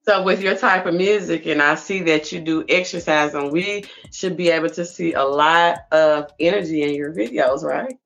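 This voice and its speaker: Bright, forceful, informal woman interviewer. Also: low-pitched, moderate pace, vocal fry, few pauses, faint music behind. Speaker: interviewer, host. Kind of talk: casual conversational interviewing.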